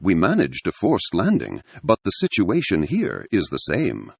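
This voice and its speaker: A man's voice: sits low, wildly swooping pitch, unhurried, vocal fry, husky, no echo, full character voice. A man voicing a tired, practical stranded pilot in an audiobook adventure story. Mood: worried.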